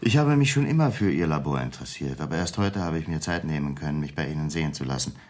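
An inquisitive male executive in a radio thriller. He talks fast in a very deep voice, casually probing with a patronising edge. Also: lively intonation, a little mellow, slight background noise. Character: smoothly inquisitive businessman, a married man. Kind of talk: 1970s German radio thriller acting.

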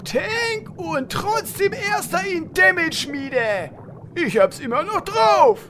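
A woman's voice: very high voice, expressive, slow, a little hiss or hum, exaggerated voice. A woman playing a bossy, proud tank warrior with a brash, exclamatory manner in a comedic radio play parody. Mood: boastful. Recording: very loud battle ambience over voice.

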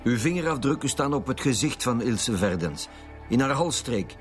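A man's voice: medium pitch, lively intonation, moderate pace, soft background music, close to an everyday voice. A Flemish man playing a blunt, impatient lead homicide detective in a radio drama, speaking assertively. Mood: accusing, pressing. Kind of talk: Belgian radio police drama acting.

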